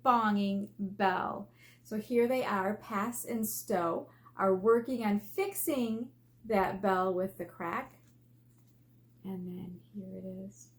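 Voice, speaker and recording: A woman teacher reading aloud to children in an expressive way, asking questions and drawing out key words for emphasis. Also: medium pitch. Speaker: elementary school teacher. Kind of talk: read-aloud lesson for children. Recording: faint background noise.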